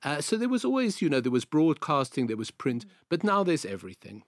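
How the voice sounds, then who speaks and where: A man in his sixties from South Africa with a South African accent, telling his career story as a guest in an interview, in a measured, anecdotal way. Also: on the high side, wildly swooping pitch, no room sound, studio quality. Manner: measured, anecdotal, repeats phrases. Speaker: guest, veteran journalist.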